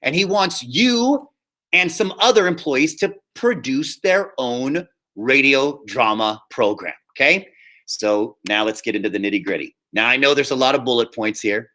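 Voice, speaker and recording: A man speaking as a high school drama teacher, explaining things informally and often checking in with his students. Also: expressive, normal speed, pushed and bright. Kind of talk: informal classroom instruction.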